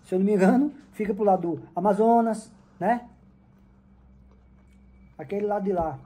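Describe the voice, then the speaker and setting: A woman's voice: mid-range voice, normal speed, slightly rough. Chatty woman with a Pernambuco accent. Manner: lively storyteller, mimics regional accents. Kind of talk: casual chat. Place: home kitchen.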